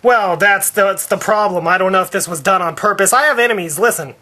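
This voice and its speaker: A man acts out an indignant, devout father in a prank phone complaint. His voice sits high with a bright, sharp edge. He stammers, stalls and circles back over his grievances. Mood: flustered, suspicious. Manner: halting, stammering, repetitive. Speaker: prank caller.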